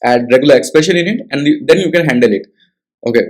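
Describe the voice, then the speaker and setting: A man's voice: on the high side, fast talker, a little mellow. A young man with an Indian English accent walking through a coding tutorial, talking casually and checking in with frequent tag questions. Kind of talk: screen-share coding tutorial.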